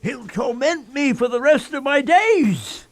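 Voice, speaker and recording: An actor playing a desperate, haunted old murderer in a radio play, pleading haltingly and repeating himself. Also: male voice, extremely high pitch, lively intonation, exaggerated voice. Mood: tormented, pleading. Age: older.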